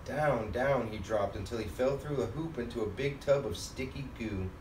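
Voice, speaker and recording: A man reading a children's storybook aloud as a father and host, in an expressive manner. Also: mid-range voice, normal speed. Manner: expressive, acts out character lines. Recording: roomy, distant mic, faint background noise.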